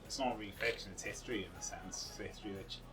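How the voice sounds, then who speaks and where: Low-voiced man, distant mic, music behind. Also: fast talker. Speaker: actor. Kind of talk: unscripted interview answers. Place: outdoors on a sea front.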